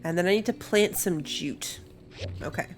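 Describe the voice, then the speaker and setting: A woman's voice: on the low side, moderate pace. A woman giving casual let's play commentary, thinking aloud as she plays. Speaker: gaming video host. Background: game music.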